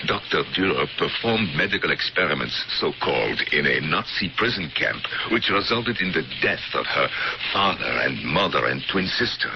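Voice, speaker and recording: An actor playing an older history professor who speaks with gravelly earnestness in a radio drama. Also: male voice, very deep voice, sing-song, normal speed, few pauses, exaggerated voice. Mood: earnest. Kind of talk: 1970s radio drama acting. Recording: dull old radio broadcast with hiss.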